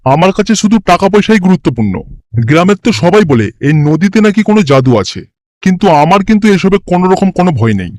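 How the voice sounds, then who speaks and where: A man's voice: high voice, big pitch swings, quick pace, a little mellow, no echo. A man voicing a bold, money-minded village fisherman in a cartoon story, boastful and defiant. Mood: boastful defiance.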